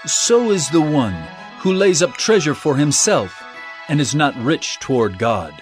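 An actor playing an earnest male teacher of parables in a dramatized audio Bible, speaking in an emphatic, measured, declamatory way. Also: on the high side, animated, soft background music. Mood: stern.

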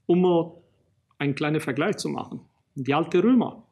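Middle-aged man, Italian accent, slightly raised pitch and husky tone, halting lecture full of fillers, desk mic. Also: a little mellow. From Italy. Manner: halting, many fillers and restarts. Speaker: archaeologist lecturer. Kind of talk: recorded public lecture. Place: small studio in front of a banner.

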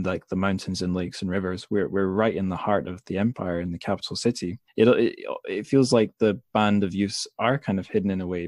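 A man with a fairly low voice hosts a relaxed interview podcast. He thinks aloud in halting phrases. The sound is very dry, with no room around him. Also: no echo.

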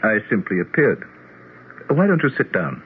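An actor playing a courtly, self-assured otherworldly prince in a 1970s radio drama, formal and theatrical. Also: male voice, on the low side, wildly swooping pitch, moderate pace. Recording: muffled, hissy old radio broadcast.

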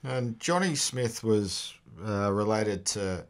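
A man's voice: on the low side, lively intonation, slow. A middle-aged Australian man co-hosting a casual whisky podcast, jokey and swearing, chiming in with quips. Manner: jokey, swears freely, chimes in with short quips. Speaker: podcast co-host. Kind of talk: casual chat over whisky tasting. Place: small home studio with barrel backdrop. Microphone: boom studio mic with headphones.

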